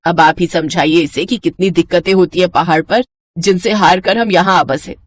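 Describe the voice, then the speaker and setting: A woman's voice: lowish voice, normal speed, lightly acted. A woman voicing a practical middle-aged mother in a storybook tale, chiding and nagging but fond. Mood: exasperated. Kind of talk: animated Hindi moral story dialogue.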